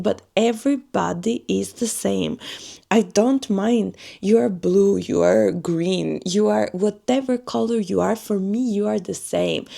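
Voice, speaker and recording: A woman's voice: medium pitch, creaky, mellow. A woman from Albania, a native Albanian speaker, talking earnestly in a personal vlog and searching for her words. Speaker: vlogger. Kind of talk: personal reflective monologue.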